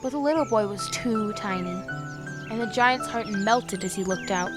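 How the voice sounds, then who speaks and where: A woman as a gentle storybook reader. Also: medium pitch, normal speed, lightly acted. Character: young woman reading a fairy tale aloud. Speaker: storyteller within the drama. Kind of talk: audio drama. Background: music.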